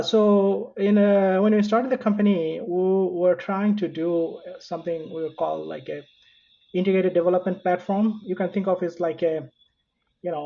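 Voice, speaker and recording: A man with a Bangladeshi accent speaking casually as an interview guest, halting and correcting himself as he goes. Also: sits high, vocal fry. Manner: halting, conversational, frequent self-corrections. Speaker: interview guest, software engineer and founder. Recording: dull, lo-fi remote connection.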